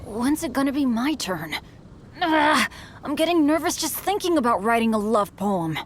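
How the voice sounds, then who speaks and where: A woman's voice: high voice, sing-song, moderate pace, a little hiss or hum. A woman voicing a nervous, impatient townsperson in a video game, with a fretful delivery. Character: nervous townsperson waiting to write a love poem. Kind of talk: video game character acting.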